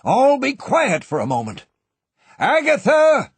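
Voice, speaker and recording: A man voicing an older banker in a panic, his voice shaky and raised high as he calls out. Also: sing-song, unhurried, vocal fry, husky, no room sound, exaggerated voice. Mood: alarmed shouting. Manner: shaky, calling out loudly. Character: older male banker, proud then panicked.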